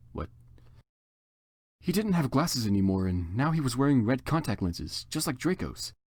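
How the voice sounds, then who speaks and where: Theatrical man reading a story aloud, lively pitch. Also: no room sound, clean studio sound. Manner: theatrical, mock-serious. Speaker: reader. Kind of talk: dramatic reading of fan fiction.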